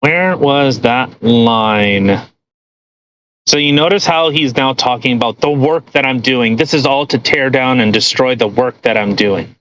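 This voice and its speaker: A man's voice: medium pitch, measured pace, fairly bright tone, poor audio. A man co-hosting a podcast in casual chat, giving sarcastic, argumentative commentary on a post. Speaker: podcast co-host.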